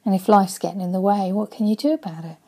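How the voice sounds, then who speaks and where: A woman in her forties, a life coach, speaking earnestly and softly into a webcam in her living room, often dropping to a breathy near-whisper. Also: medium pitch, fairly even intonation, fast. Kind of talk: motivational vlog talk.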